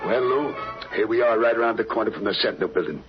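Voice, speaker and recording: An actor playing an obedient gangster's driver in a 1940s radio drama, plain and slangy. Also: male voice, fairly low pitch, quick pace, music in the background, lightly acted. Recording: muffled 1940s radio recording.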